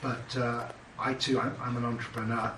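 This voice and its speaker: Man, British accent, panelist, halting speech, echoey room, distant mic. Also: medium pitch, little pitch movement, noisy background. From Britain. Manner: hesitant, many fillers and restarts. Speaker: panelist, entrepreneur. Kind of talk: panel discussion remarks.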